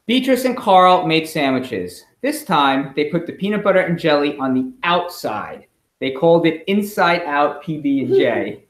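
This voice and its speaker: A middle-aged man, a school principal, reading a storybook aloud expressively and pausing with chatty asides and questions for children. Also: fairly high pitch, expressive. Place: indoors at home. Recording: echoey room in a home.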